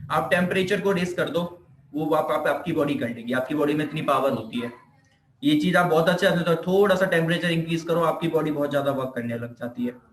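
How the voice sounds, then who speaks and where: The voice is an Indian man's, pitched a little high, with clear room echo around it. He lectures informally like a teacher, circling back over his points. He often poses a short question and then answers it himself. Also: a little hiss or hum. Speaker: physiotherapy teacher. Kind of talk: informal explanatory lecture in Hindi mixed with English terms. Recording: echoey room.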